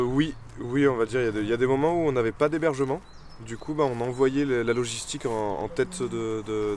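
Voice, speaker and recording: A man talks quickly in a casual, halting way, often restarting his words. He is recorded outdoors, with steady background noise clearly audible behind him. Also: medium pitch. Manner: hesitant, repeats words while talking. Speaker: marcher and organizer. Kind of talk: casual interview answers.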